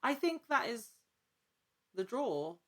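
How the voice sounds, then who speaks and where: A woman speaking as a podcast guest in a casual interview, telling anecdotes in an animated, conversational way and acting out little quotes. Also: fairly high pitch, slow pace. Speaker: podcast guest, actor and producer in actual play.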